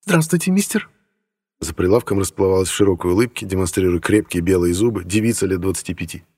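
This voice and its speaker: A man's voice: deep voice, steady tone, fast talker, warm tone, breathy edge, big-room sound. A man reading first-person fiction as a scripted audiobook narration.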